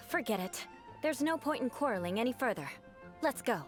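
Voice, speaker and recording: A woman's voice: fairly high pitch, lively intonation, moderate pace, faint music behind, close to an everyday voice. A woman playing a proud young aristocratic knight, speaking in a formal, stately and dryly self-mocking way in video game dialogue. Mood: wry, resigned.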